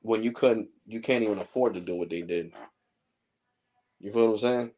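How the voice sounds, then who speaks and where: A man's voice: lowish voice, moderate pace, mellow. A man in his forties hosting a tech channel, lecturing viewers in a blunt, heated, profane way during a casual livestream rant. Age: 40s. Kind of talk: casual ranting livestream monologue.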